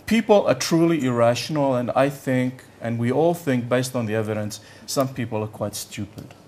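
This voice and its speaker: Halting older male professor lecturing. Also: medium pitch, darkish tone, slight background noise. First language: Yiddish. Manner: halting, searches for words, repeats "I, I". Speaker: economics professor giving a lecture. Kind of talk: conference lecture.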